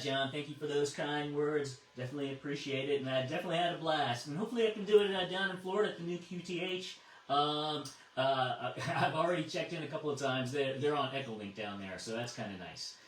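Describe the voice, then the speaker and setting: American male net controller, casual and halting, heard distant and roomy over a radio link. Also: a little high, a bit bright, slight background noise. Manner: halting, repeats words while thinking. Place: over amateur radio repeater. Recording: echoey, distant radio transmission.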